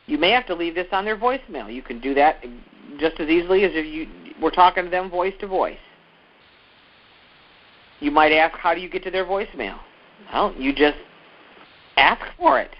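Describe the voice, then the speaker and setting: A man's voice: a little high, expressive, moderate pace, pauses often. A man giving an informal instructional talk as a sales trainer, explaining things step by step in a conversational way and repeating key points. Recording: dull, lo-fi audio.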